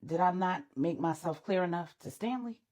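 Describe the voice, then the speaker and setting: A woman voicing a composed, self-assured young girlfriend, calm and direct, in an audio story. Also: fairly low pitch, normal speed, pitched below the performer's own voice, natural voice. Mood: calm assurance.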